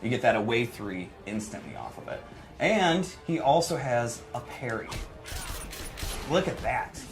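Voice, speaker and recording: A man's voice: medium pitch, a little slow, music in the background. A man co-hosting a livestream, casually and playfully talking through a fighting game demonstration. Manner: animated, playful, exclaiming. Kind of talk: casual gameplay demonstration commentary.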